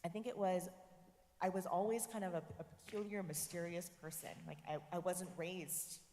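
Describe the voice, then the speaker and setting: A woman whose first language was Korean speaks as a guest in a long, ringing hall, her low voice earnest and reflective. She checks in with her listeners now and then and keeps a thoughtful, steady flow. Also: moderate pace, a little hiss or hum. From United States. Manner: earnest, tags points with "right?". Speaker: professor, forum guest. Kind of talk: reflective interview conversation. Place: church forum hall. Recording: echoey hall.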